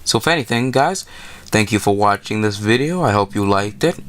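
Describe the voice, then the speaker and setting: A man's voice: lowish voice, expressive, fairly quick, fairly bright tone. A man hosting a gaming video, reading a visual novel aloud and adding casual, informal asides.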